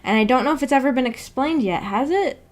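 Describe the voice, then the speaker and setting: A woman hosting a casual livestream discussion, musing tentatively out loud with a giggly manner. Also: high-pitched, lively intonation, fast talker. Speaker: host of a book club stream.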